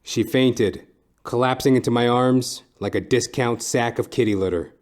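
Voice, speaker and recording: A man plays a lecherous private eye telling his caper in a noir parody audio play. His middle-pitched voice stays deadpan and deliberate through florid, overblown description. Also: close to an everyday voice. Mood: wry deadpan. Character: wry, lecherous hardboiled male private detective narrator. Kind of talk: scripted noir-parody narration.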